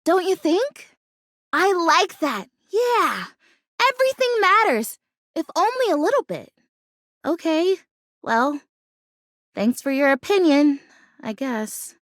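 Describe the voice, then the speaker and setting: A woman's voice: very high-pitched, takes it slowly, pauses often, dry recording, clean studio sound, full character voice. A woman voicing a video game character, an anxious but hopeful female plastic houseplant who longs to be real, speaking earnestly and hesitantly. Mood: wounded. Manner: earnest, hesitant, emotionally expressive, self-interrupting.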